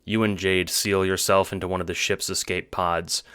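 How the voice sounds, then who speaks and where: A man's voice: deep voice, level tone, moderate pace, fairly bright tone, smooth, no echo, clean studio sound. A man giving scripted narration for an audio book styled as a drama. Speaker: narrator, writer and producer.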